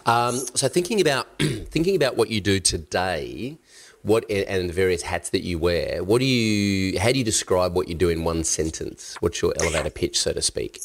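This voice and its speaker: A man's voice: low-pitched, lively intonation, normal speed, vocal fry. A man hosting an interview, sounding nasal while he recovers from a cold, asking questions with hesitant restarts. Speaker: podcast host.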